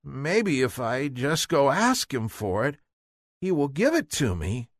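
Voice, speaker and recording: A man voicing an eager, naive young boy guppy in a children's bedtime story, earnest and excitable. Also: medium pitch, slow, no echo, studio quality, full character voice. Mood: hopeful.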